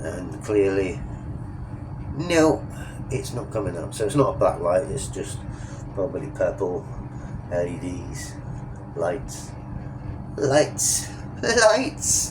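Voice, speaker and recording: Man, low voice, stuffy sniffly nose, rambling chatty vlog, faint outdoor hum. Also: very animated, slow, long pauses. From England. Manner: rambling, chatty, self-correcting. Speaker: travel vlogger. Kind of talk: casual vlog narration. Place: inside a Ferris wheel cabin at night.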